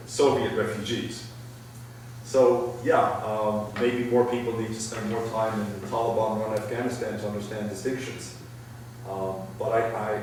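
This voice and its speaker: A middle-aged man answering audience questions at a public talk, thoughtful and halting, with many restarts. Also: lowish voice, a little mellow. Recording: echoey hall, far from the mic, faint noise.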